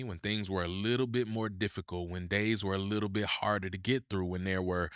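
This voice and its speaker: A man from Mississippi, hosting a podcast and telling a personal story in an animated way, going low and slow for the serious parts. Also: no room sound, clean studio sound. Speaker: podcast host.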